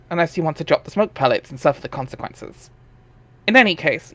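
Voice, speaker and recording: A man with a slightly high voice narrates a story aloud on a headset mic. His delivery is informal, slipping between reading and casual chat with friends. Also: a bit bright. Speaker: narrator of a webcomic dramatic reading.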